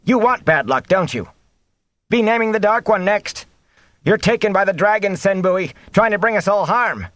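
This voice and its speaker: Man playing alarmed, high-pitched villagers. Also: lively intonation, moderate pace, a bit bright, no room sound. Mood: panicked, accusing. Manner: crying out, accusing, fearful. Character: alarmed, quarrelling villagers in a panicked crowd.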